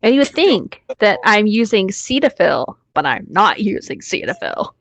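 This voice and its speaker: A woman's voice: medium pitch, sing-song, normal speed. A woman reading a story aloud as a co-host, informal and joking, with casual asides and free swearing.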